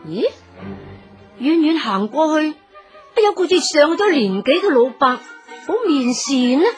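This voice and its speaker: A woman narrating a radio drama as a storyteller, dramatic and expressive, addressing her listeners. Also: high voice, a little slow, soft background music.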